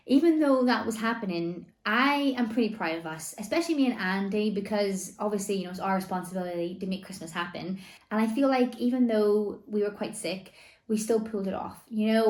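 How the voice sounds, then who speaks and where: A woman in her thirties talks to camera in a loose, rambling way, full of "like" and "you know". Her voice is still slightly worn after laryngitis, and it sits at a middle pitch. The room around her is echoey. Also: a little mellow. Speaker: vlogger. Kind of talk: casual chat to camera. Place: home room.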